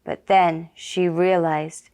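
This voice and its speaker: A woman telling a children's puppet story in a gentle, deliberate and soothing manner. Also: lowish voice, flat delivery, smooth. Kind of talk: slow narrated children's story.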